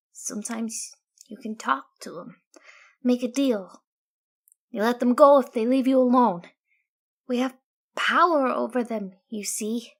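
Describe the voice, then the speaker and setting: A woman voicing an odd female pony in a storybook, giving halting, cryptic warnings in simple, childlike phrasing. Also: a little high, vocal fry.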